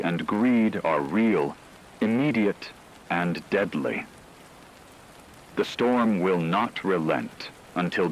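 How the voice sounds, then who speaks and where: A man playing a grave radio reporter, narrating a supernatural catastrophe in a solemn, deliberate, scripted news style. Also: deep voice, a little hiss or hum, rough recording.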